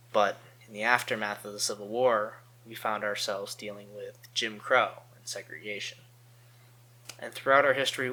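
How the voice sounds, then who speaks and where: An American man giving earnest political commentary as a channel host, phrasing his points deliberately and with emphasis. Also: on the low side, bright, forceful tone, low-quality recording.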